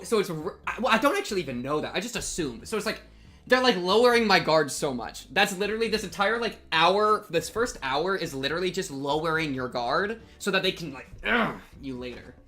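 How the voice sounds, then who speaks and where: Young man, bright sharp tone, casual game commentary, slangy, faint music behind. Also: fairly high pitch. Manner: goofy, slangy, chatty. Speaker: game streamer. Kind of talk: casual playthrough commentary and reading aloud.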